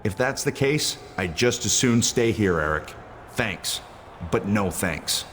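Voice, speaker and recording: A man's voice: fairly low pitch, lively intonation, slow, fairly bright tone. A man playing a stubborn, ambitious wrestler with a wary temper in a video game. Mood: wary, firm. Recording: clear background ambient noise.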